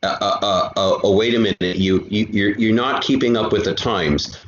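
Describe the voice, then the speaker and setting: A middle-aged man chatting discursively as a guest, haltingly repeating words as he thinks aloud. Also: on the low side, fairly even intonation. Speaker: guest, writer and software programmer. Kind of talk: casual chat, discursive storytelling. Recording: echoey room, muffled, some hiss.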